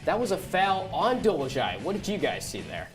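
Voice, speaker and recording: Male sports host and play-by-play announcer, slightly high voice, broadcast commentary over faint music. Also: wildly swooping pitch, moderate pace.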